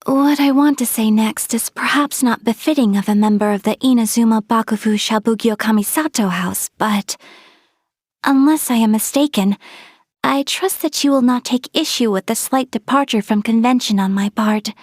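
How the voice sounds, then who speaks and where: A young woman playing a refined, gracious noblewoman in a video game, speaking in formal, politely measured diction. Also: fairly high pitch, no echo, studio quality, lightly acted. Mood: hesitant, gentle. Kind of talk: video game character voice lines.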